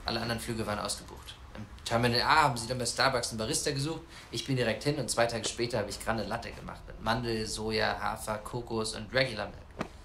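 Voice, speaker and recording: Pushy cynical reporter, audio drama, quick pace, crude, insistent, slight background noise. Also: male voice, on the low side, steady tone, vocal fry, sharp tone. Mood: weary, matter-of-fact. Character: brash, cynical, pushy male reporter pressing for answers. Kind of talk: naturalistic German radio drama acting.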